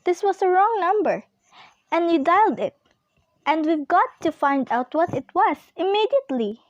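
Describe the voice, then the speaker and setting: Woman acting high-voiced, fretful, demanding invalid wife. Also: very animated, moderate pace, no room sound, lo-fi sound. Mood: indignant, impatient. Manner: fretful, imperious, stammering. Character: anxious, demanding invalid wife, alone at night. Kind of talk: amateur radio play acting.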